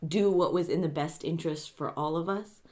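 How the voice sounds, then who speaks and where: A woman's voice: low voice, fairly quick, darkish tone. A woman giving her opinion as a panelist in a casual podcast conversation. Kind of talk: casual chat.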